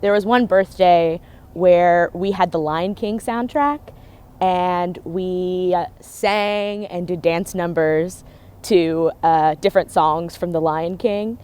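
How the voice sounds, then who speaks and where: A young woman from Texas casually recalling a childhood birthday to camera, unhurried. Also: fairly low pitch, steady tone, darkish tone, clean tone. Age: young adult. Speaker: interviewee, young artist.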